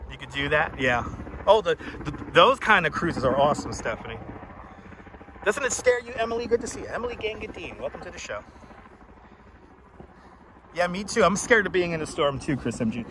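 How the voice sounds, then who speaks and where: A Puerto Rican man with a naturally loud voice, hosting a casual walking live stream outdoors and greeting viewers by name on a clip-on mic. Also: on the high side, very animated, brisk, pauses often, background noise. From Puerto Rico. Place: outdoors on an island in a city. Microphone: wireless clip-on mic. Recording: clear outdoor street ambience.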